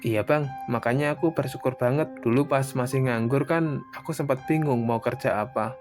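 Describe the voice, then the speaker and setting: Casting: man voicing grateful young working guy, medium pitch, casual yet respectful, cartoon dialogue with music behind. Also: moderate pace, darkish tone, close to an everyday voice. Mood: earnest, cheerful. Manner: casual, deferential, earnest. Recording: voice over prominent background music.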